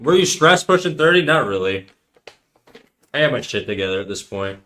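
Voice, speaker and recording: A man's voice: mid-range voice, very animated, moderate pace, bright, forceful tone. A man of thirty chatting casually on a live stream, rambling in slang and reacting to chat messages. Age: 30s.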